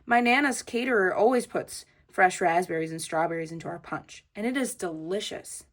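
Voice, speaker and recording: A woman's voice: lowish voice, moderate pace, natural voice. Woman as snobbish rich little schoolgirl. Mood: boastful. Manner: haughty, boastful.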